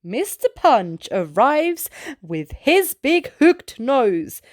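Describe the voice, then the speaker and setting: A woman host reads a storybook to young children in a high, very lively voice. She takes her time over each phrase and throws out sound words as exclamations. The recording is close and dry. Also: dry recording. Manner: slow, deliberate delivery for young children. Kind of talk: expressive read-aloud picture book narration.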